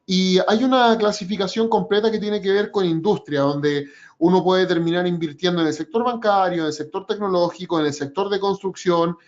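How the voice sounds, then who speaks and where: High-voiced man giving a trading webinar. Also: steady tone, dull audio. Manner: measured, explanatory, with frequent "eh" and "por ejemplo". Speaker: webinar presenter and trading educator. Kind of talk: educational webinar presentation.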